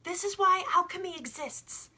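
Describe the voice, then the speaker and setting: Woman reading as an earnest, high-pitched young boy. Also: normal speed, sharp tone, full character voice. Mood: fervent. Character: earnest, bold young boy, philosophical dreamer.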